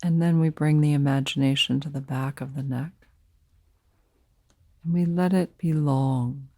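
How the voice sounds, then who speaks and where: A woman leading a guided meditation as a teacher, speaking softly and soothingly in short, unhurried phrases with pauses between them. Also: low voice, steady tone, no room sound, studio quality.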